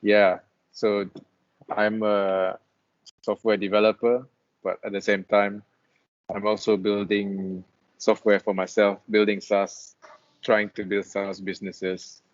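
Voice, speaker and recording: A young man from Malaysia with a lowish voice answers interview questions over a video call. He talks in a relaxed, easygoing way, full of restarts and fillers. The call audio sounds dull and lo-fi. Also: takes it slowly. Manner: relaxed, hesitant with many restarts. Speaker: guest, freelance web developer. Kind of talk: casual interview chat.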